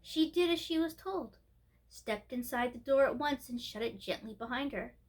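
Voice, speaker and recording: Female storyteller, high register, expressive read-aloud style for children's books. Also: normal speed. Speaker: storyteller, host of a storytime show.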